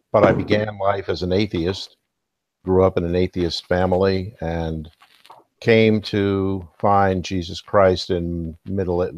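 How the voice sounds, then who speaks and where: Older retired scientist, very deep and slow, dry dull recording. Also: male voice, a little mellow. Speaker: panel guest. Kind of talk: casual chat.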